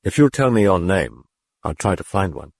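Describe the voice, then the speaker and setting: A calm, steady priest, read by a man asks his questions in a plain, low, slightly husky voice. The delivery is measured and clipped close to the mic. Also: no room sound, heavily acted. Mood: probing. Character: calm, probing male priest.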